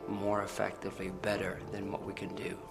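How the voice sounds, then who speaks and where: A man's voice: sits low, completely flat delivery, brisk, gravelly. A man speaking earnestly in a documentary interview from a played video. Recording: video with loud music bed. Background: loud background music.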